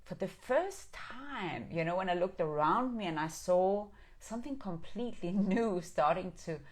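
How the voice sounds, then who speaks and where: Woman, middle-aged, from Denmark, low voice, earnest and affirming, reflective inspirational live talk. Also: animated, normal speed. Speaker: live stream host. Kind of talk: reflective inspirational talk, reading and answering viewer comments.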